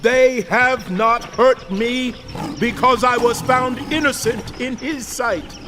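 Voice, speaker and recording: A slow, high-voiced faithful prophet. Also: male voice, noisy background. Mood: fervent relief. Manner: solemn, drawn-out declamation. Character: faithful, innocent prophet addressing his king respectfully. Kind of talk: dramatized audio Bible acting. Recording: loud roaring lions and ambience behind.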